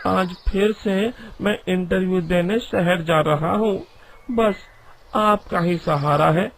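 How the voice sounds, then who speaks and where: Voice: mid-range voice, takes it slowly, gravelly, noisy background. An actor voicing a naive, reverent young male villager in a cartoon moral story. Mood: earnest, pleading. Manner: earnest, reverent.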